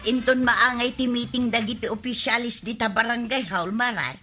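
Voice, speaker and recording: Chatty village wife, high bright voice. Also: female voice, steady tone, moderate pace, faint music behind. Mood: coaxing. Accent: Ilocano. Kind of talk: Ilocano radio comedy drama acting.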